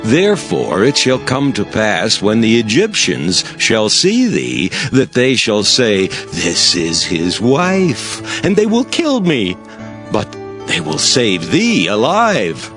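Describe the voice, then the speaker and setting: An actor playing an earnest, pleading older patriarch in a dramatized scripture recording, measured and entreating. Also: male voice, fairly low pitch, wildly swooping pitch, fairly bright tone, faint music behind, heavily acted. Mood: anxious pleading.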